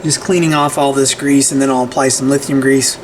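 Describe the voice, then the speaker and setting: A man's voice: mid-range voice, flat delivery, fairly quick, slight background noise, poor audio. A man hosting a casual repair tutorial at his workbench, talking conversationally and often checking in with "right?". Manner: conversational, frequent "right?" and restarts. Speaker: repair channel host. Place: workbench room.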